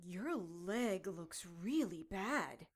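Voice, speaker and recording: A woman's voice: medium pitch, lively intonation, extremely slow, slightly rough, exaggerated voice. An actress playing a brash, defiant captive witch in an audio drama, sassy and emphatic. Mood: concerned, indignant. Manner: emphatic, hot-headed, sassy.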